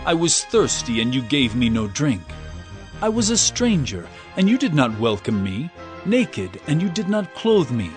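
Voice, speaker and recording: A man's voice: medium pitch, big pitch swings, a little slow, soft background music. A man playing a majestic king in judgment, solemn and declamatory, in a dramatized scripture reading. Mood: stern condemnation. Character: majestic divine king judging the blessed and cursed.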